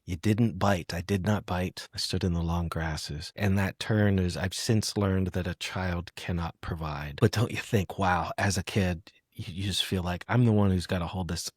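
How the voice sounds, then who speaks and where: A man's voice: low-pitched, fairly even intonation, moderate pace, hardly any pauses, slightly rough, no echo, studio quality. A middle-aged man hosting a reflective poetry podcast conversation, musing in sentences that often trail off. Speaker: podcast host.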